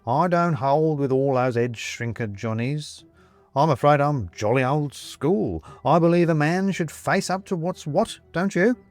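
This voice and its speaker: A man voicing a jovial, persuasive ghost gentleman in an audiobook, with a British accent and clipped, chummy, old-fashioned upper-class phrasing. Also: fairly high pitch, unhurried, no room sound, pitched above the performer's own voice, lightly acted. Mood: brisk, bluff. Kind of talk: audiobook character acting.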